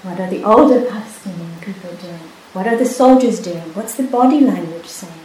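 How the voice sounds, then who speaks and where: A woman whose first language is Hebrew, a meditation teacher telling a story in a calm, unhurried way with many pauses. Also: mid-range voice. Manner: soft-spoken, unhurried, with many pauses and restarts. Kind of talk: dharma talk with personal story. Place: retreat hall. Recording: echoey hall, faint hiss.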